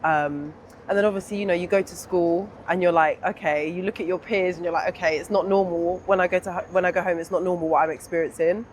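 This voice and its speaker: A young adult woman with a southern English accent speaks candidly and informally in an interview, pausing to think as she reflects. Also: on the low side, fairly even intonation, a little hiss or hum. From England. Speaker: interview guest.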